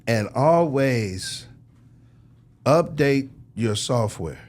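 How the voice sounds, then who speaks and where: A man's voice: mid-range voice, animated, very slow, darkish tone. An American man giving casual, emphatic, preachy advice as an interview guest, often checking the listener follows with tag questions. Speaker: music industry executive, interview guest.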